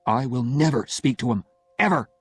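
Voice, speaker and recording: A man's voice: mid-range voice, expressive, normal speed, husky, no room sound. A man playing a proud, stubborn young law-student husband in an audiobook, curt and heated. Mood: angry.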